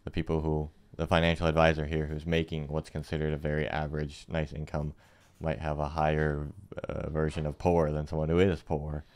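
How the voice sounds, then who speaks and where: A man co-hosting a reaction video, speaking in a thoughtful, unhurried way. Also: extremely low pitch, completely flat delivery, a little mellow. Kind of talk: casual reaction chat.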